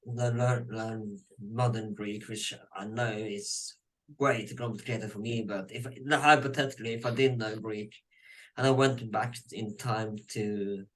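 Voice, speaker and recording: A man's voice: lowish voice, steady tone, a bit bright, low-quality recording. A man from Norway with a Norwegian accent, hosting an interview in English as a second language, repeating words as he forms his questions. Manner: halting, repeats words while forming questions. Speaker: podcast host. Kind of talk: conversational interviewing.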